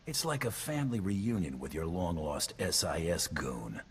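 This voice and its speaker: Very deep-voiced, cocky bounty hunter. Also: male voice, moderate pace, a little hiss or hum. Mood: deadpan, cocky. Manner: deadpan, dry, self-assured. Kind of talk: video game voice acting.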